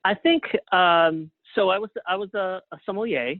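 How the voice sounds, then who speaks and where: A man's voice: high-pitched, moderate pace, no room sound. A middle-aged man speaks as a guest in a casual interview. His voice comes through a thin, phone-like connection with very little room sound. He tells things modestly, poking fun at himself. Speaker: guest playwright. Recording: narrow phone-like line.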